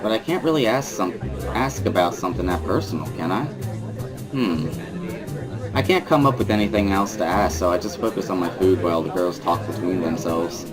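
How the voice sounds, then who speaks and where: Low-voiced man narrating over music. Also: a little fast. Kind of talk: first-person dramatic reading of a visual novel. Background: guitar music.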